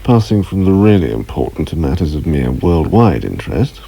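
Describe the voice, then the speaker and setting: An actor playing an urbane, affectionate, drily jealous husband in a radio drama, measured and playfully ironic. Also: male voice, very deep voice, very animated, gravelly, warm tone, husky, exaggerated voice. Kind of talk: naturalistic radio drama acting.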